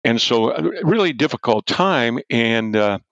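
An older man, a former football coach, telling a story loudly and animatedly as an interview guest and chuckling as he goes. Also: medium pitch, animated, a little slow, no room sound. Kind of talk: storytelling in a podcast-style interview. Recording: dull, dry lo-fi audio.

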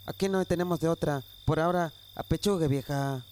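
A man's voice: fairly high pitch, brisk, darkish tone, a little hiss or hum, close to an everyday voice. A man acting a humble, earnest Mexican peasant husband in an amateur radio drama, with rustic folksy speech. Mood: weary, hopeful.

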